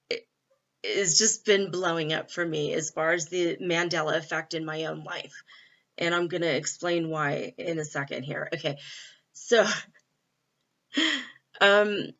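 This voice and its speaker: Bright-voiced chatty woman vlogging. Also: lowish voice, takes it slowly, pauses often. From California. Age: middle-aged. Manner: chatty, candid, with frequent fillers and laughs. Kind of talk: casual spiritual vlog. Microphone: laptop built-in mic. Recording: dull lo-fi laptop mic.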